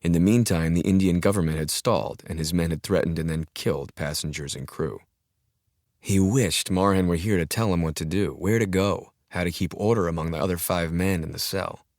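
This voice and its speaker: A man's voice: low-pitched, a little fast, a little mellow, dry recording. A man reading a thriller audiobook as a dramatic scripted narration, recorded close in a studio. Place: dry studio, close mic.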